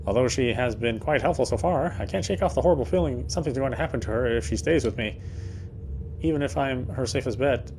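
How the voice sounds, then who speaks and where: A man's voice: mid-range voice, fast talker, darkish tone, poor audio. A man playing a visual novel, reading its narration aloud in a dramatic storytelling style. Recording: faint background noise.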